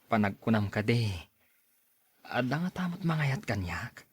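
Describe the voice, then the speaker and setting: Radio drama romantic lead played by a man: courteous, earnest young Ilocano man, slightly husky mid voice, dry sound. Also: medium pitch, big pitch swings, a little slow, no echo. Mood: apologetic, teasing.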